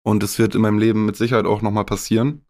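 A man's voice: fairly low pitch, level tone, very fast, a little mellow. A young man from northwest Germany, a rapper speaking candidly and casually in a podcast interview, with some swearing and English slang.

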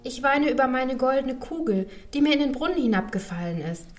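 A spoiled young princess, put on by a woman reading the tale, pleads as if close to tears. Her voice sits a little high. Soft music plays in the background. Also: lively intonation, brisk. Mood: tearful. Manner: pleading, lightly sobbing. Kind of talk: storybook reading.

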